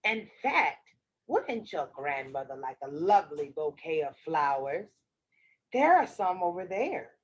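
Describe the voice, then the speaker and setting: A sly storybook wolf acted out by a woman speaks in a lowered, unhurried voice. He is hungry and oily, stretching his polite words out with false courtesy. The recording is slightly dull. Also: sing-song, slightly rough, full character voice. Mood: sly, coaxing.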